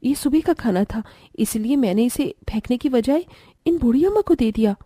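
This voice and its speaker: Woman doing a sweet poor young bahu for a cartoon, respectful n earnest, high breathy voice. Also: expressive, normal speed, mellow, no room sound, studio quality. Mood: earnest, pleading. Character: gentle, principled poor young daughter-in-law.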